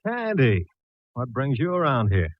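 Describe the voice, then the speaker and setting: An actor playing a dry, laconic, teasing homicide inspector in a 1940s radio detective drama. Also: male voice, medium pitch, huge pitch swings, a little slow, no echo. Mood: dry, businesslike. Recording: muffled, thin vintage radio recording.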